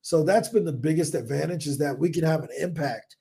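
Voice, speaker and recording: A man in his forties from the United States, a business owner telling stories on a podcast in an energetic, casual way, acting out his own past thoughts. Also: a little high, a little fast, vocal fry. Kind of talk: casual interview talk. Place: home in Colombia.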